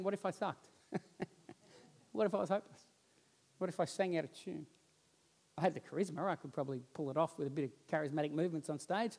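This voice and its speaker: A man, preaching a sermon on a church stage and telling stories with lively gestures while he walks about. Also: a little high. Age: 40s. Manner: animated storytelling, roams the stage gesturing. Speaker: pastor. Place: church stage with band instruments, indoors.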